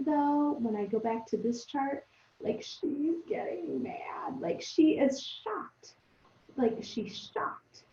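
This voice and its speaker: A woman teacher thinking aloud for young pupils in a conversational, expressive read-aloud lesson. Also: sits high. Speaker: elementary teacher. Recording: roomy, echoing, dull lo-fi sound.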